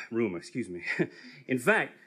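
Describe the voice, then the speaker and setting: A man playing a polite, secretive newcomer, courteous but evasive, with a husky voice in a live comic radio play. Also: mid-range voice, sing-song, slow pace, bright, forceful tone, full character voice. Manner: courteous, hesitant, nervously evasive.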